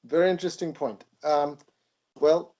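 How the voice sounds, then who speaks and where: A man in his sixties from Bangladesh, speaking as an interview guest in a reflective, measured way with frequent pauses. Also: a little high, dark tone, muffled recording. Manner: measured, thoughtful, pausing often. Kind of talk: reflective spiritual conversation.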